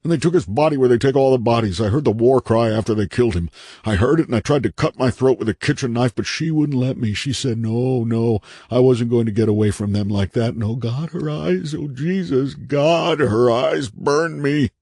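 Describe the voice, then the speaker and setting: A man voicing a terrified, half-mad character in an audiobook, hoarse and strained, pleading and urgent as he gives his warnings. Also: mid-range voice, normal speed, dark tone, no echo, studio quality, pitched above the performer's own voice. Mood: frenzied terror.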